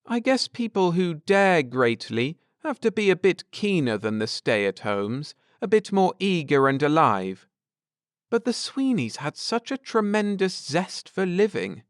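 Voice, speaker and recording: An eager, tender-hearted wife from a science fiction audiobook, voiced by a man. The pitch is lifted and lively, the tone clean, with a wistful, animated way of talking. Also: measured pace, no echo, clean studio sound. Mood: wistful, admiring. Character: eager, warm-hearted wife, space traveller. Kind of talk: audiobook character dialogue.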